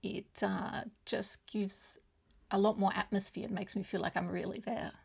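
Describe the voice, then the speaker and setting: Woman, native German speaker, heavy vocal fry, breathy husky tone, chatty vlogger monologue, hesitant and meandering. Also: medium pitch, flat delivery, normal speed, darkish tone. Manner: rambling, hesitant, often losing her thread. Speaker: book vlogger. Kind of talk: casual, chatty monologue to viewers.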